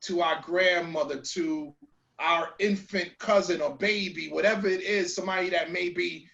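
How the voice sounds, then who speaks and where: Male co-host, high voice, earnest, echoey lo-fi audio. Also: fairly even intonation, normal speed, creaky, pushed and bright. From New York. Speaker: lawyer co-host. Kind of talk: talk show commentary. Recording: echoey dull remote audio.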